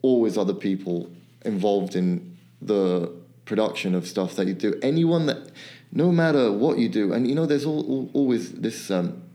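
Male interview guest, late thirties, halting with fillers, warm mellow voice, lowish pitch. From born in England, raised in Mexico. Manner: halting, many fillers and restarts. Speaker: guest artist and photographer. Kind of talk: casual interview talk.

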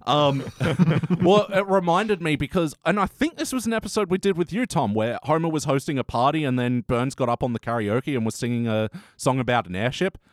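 A man's voice: fairly high pitch, lively intonation, a little fast, no echo, clean studio sound. An Australian man hosting a casual review podcast with a wry, chatty manner. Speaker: podcast host. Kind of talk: casual comedy review chat.

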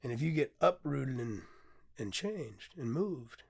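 A hoarse, tired man hosting his own podcast in a casual, candid way, often pausing on "um" and swearing freely. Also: medium pitch, animated. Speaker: podcast host and poet. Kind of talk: casual solo podcast talk.

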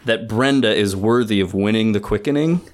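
A man's voice: lowish voice, moderate pace. A man co-hosting a casual film discussion, earnest and thinking aloud as he goes. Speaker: podcast co-host.

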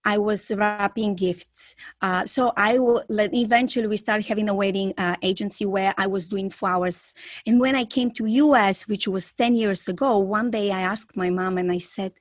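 A woman's voice: medium pitch, normal speed, no room sound, studio quality. A woman in her thirties with a Bulgarian accent, telling her story enthusiastically as an interview guest. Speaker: podcast guest, pet photographer.

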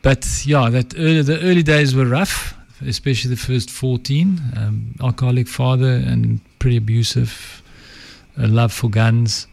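A middle-aged South African man with an Afrikaans accent, a podcast guest talking calmly and reflectively about his life. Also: mid-range voice, moderate pace, creaky. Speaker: guest, advertising entrepreneur and author. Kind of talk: reflective interview talk.

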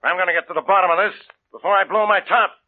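A man playing a blustery cop inspector, slightly high voice, tinny noisy radio recording. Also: fairly even intonation, fast, lightly acted. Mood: exasperated. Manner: blustery, exasperated. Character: gruff, exasperated male police inspector. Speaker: police inspector Faraday. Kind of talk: 1940s radio acting. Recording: tinny old radio broadcast with noise.

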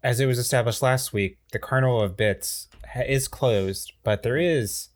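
A man's voice: medium pitch, moderate pace. A man hosting a comedy podcast, explaining a game in a rambling, playful way full of fillers. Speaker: podcast host. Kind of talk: casual comedic podcast chat.

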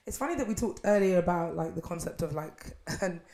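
Young female co-host from Uganda, lowish pitch, easygoing chat. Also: animated, a little fast, mellow. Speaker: podcast co-host. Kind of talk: casual chat.

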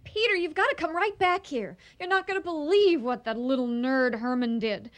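Woman playing a high-voiced, exasperated restaurateur. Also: moderate pace, dry recording, lightly acted. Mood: indignant, exasperated. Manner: indignant, sarcastic, emphatic. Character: exasperated, feisty female restaurant owner, competitive and vengeful. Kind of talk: radio comedy acting.

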